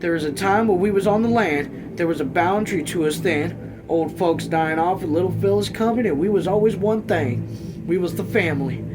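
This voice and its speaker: A man's voice: high voice, fairly quick, over music, pitched above the performer's own voice, natural voice, low-quality recording. A man reading a novel aloud as an anxious, weary rural mother who pleads earnestly in folksy dialect. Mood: pleading, distressed.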